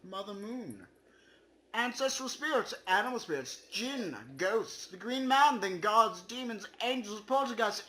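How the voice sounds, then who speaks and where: Guy hamming up a dramatic reading for laughs, really high sharp voice, goofy asides. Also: low-quality recording. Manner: melodramatic, swinging from whispers to shouts; silly asides and interruptions. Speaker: YouTuber. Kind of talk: mock-dramatic comedic reading.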